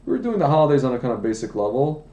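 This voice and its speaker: Man, late thirties, casual storytelling, animated and rambling, mellow tone, echoey room. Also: mid-range voice, racing, dull audio. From United States. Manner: rambling, animated, with frequent restarts and asides. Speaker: wine business founder, podcast guest. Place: indoor room, livestream podcast.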